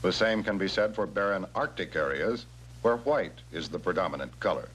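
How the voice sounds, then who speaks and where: A man reads measured, scripted instructional narration for a military training film, speaking directly to the viewer. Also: deep voice, fairly bright tone. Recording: old training film soundtrack, humming noise.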